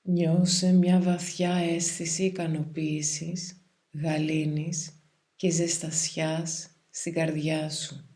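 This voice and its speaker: A woman guides a meditation in a calm, soothing, measured way, leaving pauses between phrases. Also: deep voice. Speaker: yoga nidra meditation guide.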